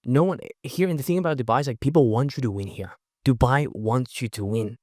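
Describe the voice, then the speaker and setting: A young man whose first language is Spanish, with a mixed Spanish and Australian accent, talking as a podcast guest in an energetic, emphatic way and often ending with "right?". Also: mid-range voice, dry recording, studio quality. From Chile. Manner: fast, emphatic, leans on "right?" tags. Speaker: podcast guest, networking event organizer. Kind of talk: energetic casual interview talk.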